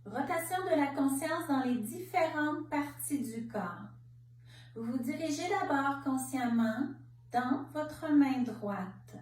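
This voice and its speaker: A woman leading a guided relaxation meditation as a yoga nidra instructor, speaking in calm, measured short phrases with pauses. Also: high voice. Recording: roomy, distant mic, faint background noise.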